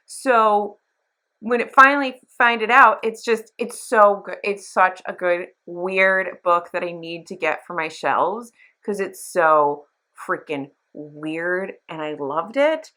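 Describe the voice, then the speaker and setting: A woman's voice: lowish voice, slow pace, pushed and bright. A woman hosting a book review channel, chatting casually to camera in a gushing, emphatic, informal way with mild slang.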